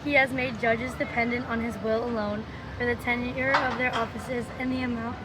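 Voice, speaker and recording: A woman reading a historical document aloud at a brisk, somewhat raised pace. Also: a little high, level tone, heavy background noise. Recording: loud music behind the voice.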